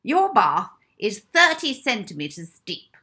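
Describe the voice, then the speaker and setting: A woman's voice: fairly high pitch, big pitch swings, takes it slowly, pushed and bright, breathy edge, pitched above the performer's own voice, exaggerated voice. A woman voicing a spiteful, strict older spinster matron in a children's storybook, scolding and officious. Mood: stern, scolding.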